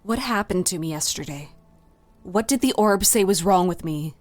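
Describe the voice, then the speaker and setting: Man voicing a high-pitched earnest young woman. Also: animated, moderate pace, faint music behind. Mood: worried. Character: earnest young female healer student, guilt-ridden. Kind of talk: audiobook character dialogue.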